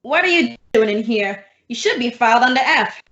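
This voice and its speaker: A woman's voice: on the high side, expressive, moderate pace, noisy background. A woman playing a wry schoolteacher who tosses off dry, sardonic quips in an old-time radio comedy. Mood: deadpan, dutiful. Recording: roomy, dull lo-fi sound.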